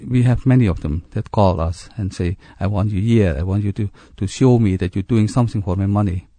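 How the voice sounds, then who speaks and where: A man's voice: fairly low pitch, fairly quick, dark tone, no room sound. A middle-aged man from Indonesia, a mosquito control official, answering interview questions in a plain, direct way.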